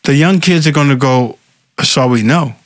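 A man's voice: mid-range voice, moderate pace, no room sound. A man in his thirties from Philadelphia, a politician guest talking casually and emphatically, often saying "right?" and "facts". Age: 30s. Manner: emphatic, repeats words and says "right?" and "facts". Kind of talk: casual interview talk. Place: small studio with brick wall. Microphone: desk mic on boom arm.

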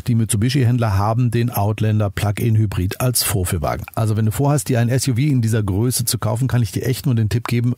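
Male podcast host, mid pitch, husky, enthusiastic car review read, dry close mic. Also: dark tone, dry recording. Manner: upbeat, chatty, addresses listener directly. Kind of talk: scripted car review narration.

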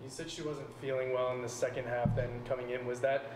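A man's voice: medium pitch, little pitch movement, brisk, music in the background. A male reporter asking pointed follow-up questions at a post-game press conference. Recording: echoey room with background noise.

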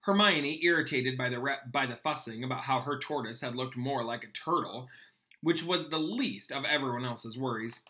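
A man's voice: mid-range voice, moderate pace. A young man reading a storybook aloud like a teacher, expressively, with plain explanatory asides. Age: young adult. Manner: expressive, acts out dialogue. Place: small home room, indoors.

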